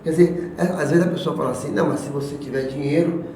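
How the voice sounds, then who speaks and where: A man's voice: fairly high pitch, steady tone, fast, darkish tone. A man with a Brazilian accent co-hosting a podcast, chatting casually and telling anecdotes. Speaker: podcast co-host. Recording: very echoey room, background noise.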